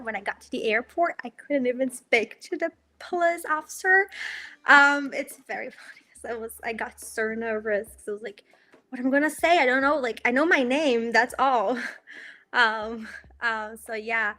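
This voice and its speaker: Bright-voiced young Brazilian woman. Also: fairly high pitch. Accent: Brazilian Portuguese. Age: 20s. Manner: cheerful storyteller with frequent ums and light laughs. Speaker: student guest. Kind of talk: casual interview.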